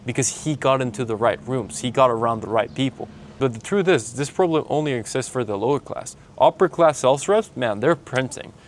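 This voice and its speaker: A young man with a Mexican Spanish accent delivers a blunt, motivational pep talk into his own camera, peppering it with man and bro. His voice sits at an everyday middle pitch. A faint outdoor ambience hums behind him. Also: brisk, few pauses, slight background noise. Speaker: sales coach. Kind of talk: motivational vlog monologue. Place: outdoors while traveling. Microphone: handheld camera filming himself.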